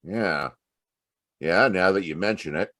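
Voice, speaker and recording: A man playing a puzzled, slightly slow-witted homicide lieutenant in an old-time radio detective drama. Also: deep voice, pushed and bright, no room sound, clean studio sound.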